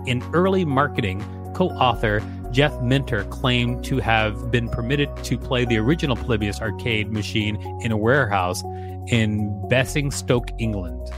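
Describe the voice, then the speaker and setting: A man narrates scripted article text as a podcast co-host. He has a medium-pitched voice, and music is clearly audible behind him.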